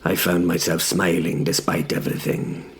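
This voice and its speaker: Over clear background noise, a man plays a young medieval knight narrating his own tale in audio drama. The voice is very low and gravelly, solemn and measured, leaving deliberate pauses between phrases. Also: flat delivery. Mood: solemn, reflective. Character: young male knight William, reflective, brave yet frightened. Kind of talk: scripted first-person dramatic narration.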